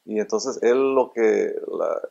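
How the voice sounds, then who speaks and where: A middle-aged man from Honduras talks about his work in a low, gravelly voice. His answers are earnest and halting, with repeated words as he searches for phrasing. The sound is a bit dull and lo-fi. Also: mellow. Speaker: robotics engineer, interview guest. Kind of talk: casual interview answers. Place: indoor room full of robots.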